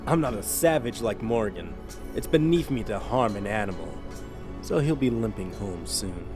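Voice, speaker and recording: A man's voice: lowish voice, animated, moderate pace, music in the background, natural voice. An actor voicing a proud god-king who speaks in a formal, grandiose way. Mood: boastful.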